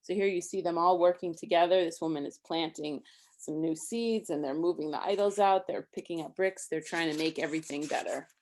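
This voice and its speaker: A woman's voice: on the low side, a little fast, a little mellow. A woman reading a rhyming children's story aloud expressively, with simple, casual explanations for a young listener. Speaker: storybook reader.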